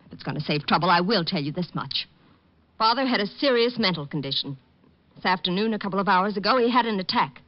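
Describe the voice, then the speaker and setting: An actress playing a haughty, hard-edged young heiress, clipped and formal, in an old radio drama. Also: female voice, medium pitch, expressive, fast, lightly acted. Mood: icy, defensive. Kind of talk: 1950s radio drama acting. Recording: muffled old radio recording.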